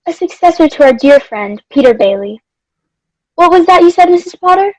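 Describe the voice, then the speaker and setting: A woman's voice: very high-pitched, animated, moderate pace, no echo, low-quality recording. A woman playing an earnest, urgent board member in old-time radio theatre style. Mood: formal.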